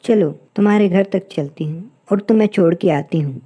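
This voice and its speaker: Mellow, dark-toned kind female bird, voiced by a woman. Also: lowish voice, normal speed, lo-fi sound, close to an everyday voice. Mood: caring. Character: kind, helpful young female bird, earnest and concerned. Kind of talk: Hindi moral-story cartoon dubbing.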